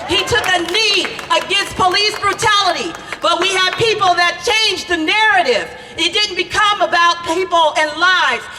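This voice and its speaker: An older woman, a grandmother and preacher, giving a fiery protest speech outdoors, often shouting in repeated phrases. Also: very high-pitched. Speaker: preacher speaking at a rally. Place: outdoor rally at city hall. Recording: reverberant PA sound with loud crowd.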